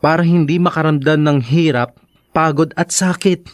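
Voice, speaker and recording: High-pitched, earnest devoted suitor played by an actor. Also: male voice, fairly even intonation, moderate pace, no echo, studio quality, lightly acted. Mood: gently urging. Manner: earnest, pleading, mixes in English. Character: devoted, persistent young male suitor, sincere. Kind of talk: Filipino radio drama acting.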